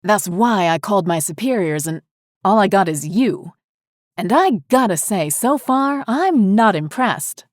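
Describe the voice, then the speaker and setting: A woman's voice: mid-range voice, lively intonation, a little slow, no echo, clean studio sound, voice pushed up, natural voice. A woman voicing a defiant, angry man fighting an alien invasion, speaking with urgency and indignation in an audio horror story. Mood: indignant.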